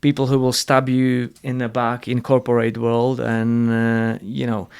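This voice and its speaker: A podcast host speaks English with a Slovak accent at a mid pitch. He talks bluntly and earnestly, often restarting his phrases, and he is not shy about swearing. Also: monotone, unhurried, gravelly, darkish tone, smooth. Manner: blunt and earnest, frequent restarts, salty language. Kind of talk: casual interview conversation.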